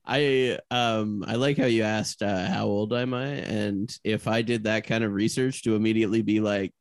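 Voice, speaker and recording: A man co-hosting a podcast, chatting casually and slowly, often laughing. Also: on the low side, steady tone, no room sound. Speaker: podcast co-host. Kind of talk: casual chat.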